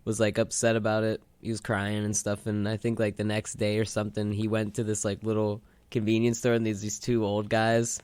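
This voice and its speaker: A man with a fairly low, clean and smooth voice talks casually as a radio co-host. He rambles through his stories in a loose, conversational way, recorded close and dry. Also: monotone, a little fast, hardly any pauses, darkish tone, dry recording. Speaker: co-host of a retrospective radio show. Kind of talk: casual chat.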